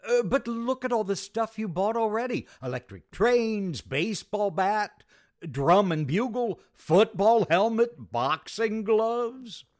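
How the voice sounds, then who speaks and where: Man playing wry sitcom sidekick, higher voice, lively intonation, unhurried, sensible, dryly exasperated. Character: sensible, wry male best friend of a flustered husband. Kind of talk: old-time radio sitcom acting.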